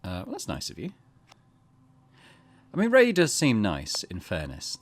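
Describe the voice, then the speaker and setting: A man's voice: on the low side, huge pitch swings, unhurried, no echo. A man giving casual let's-play commentary as a gaming host, thinking aloud and reading on-screen text out.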